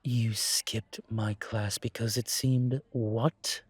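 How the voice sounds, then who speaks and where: A man playing a suave incubus demon professor in a roleplay audio drama, teasing and protective, with a wry, measured delivery. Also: lowish voice, fairly even intonation, husky, no echo. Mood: wry, mock offended.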